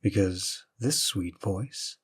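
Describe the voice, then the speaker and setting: Breathy, husky, low-voiced man. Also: takes it slowly. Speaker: voice actor promoting custom audio commissions. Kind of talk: intimate, hushed promotional talk.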